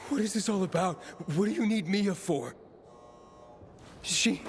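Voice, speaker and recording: A man's voice: sits high, a little slow, a little hiss or hum. An actor playing a determined young man in a video game, speaking with urgent emotion as he searches for someone he lost. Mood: shaken, demanding. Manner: urgent, emotional delivery with strained calls. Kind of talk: dramatic video game cutscene acting. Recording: music under the dialogue.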